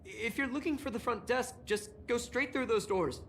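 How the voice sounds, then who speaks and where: A woman's voice: a little high, moderate pace, a bit bright, slight background noise. A woman voicing an earnest, talkative young cybersecurity engineer in a video game, explaining things expressively. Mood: puzzled. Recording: game music behind voice. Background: game music.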